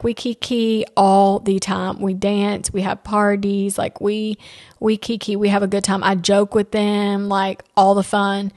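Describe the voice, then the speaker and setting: A woman's voice: medium pitch, no room sound, studio quality. A woman in her thirties hosting a casual solo podcast, chatty and candid, with playful asides. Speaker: podcast host.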